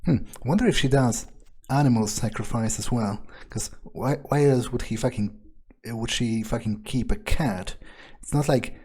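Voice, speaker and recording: A man acts out a scornful male vampire in a game roleplay, thinking aloud in a dark, mellow voice with a husky edge. He is sardonic and profane, muttering and halting as he goes. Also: mid-range voice, natural voice. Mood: musing, scornful. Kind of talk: improvised in-character game roleplay.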